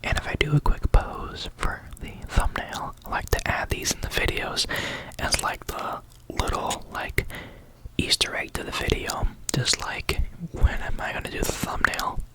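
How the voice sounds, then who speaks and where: A tired young adult YouTuber telling a story in a soft unvoiced whisper, rambling with many likes and ums. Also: measured pace, low-quality recording.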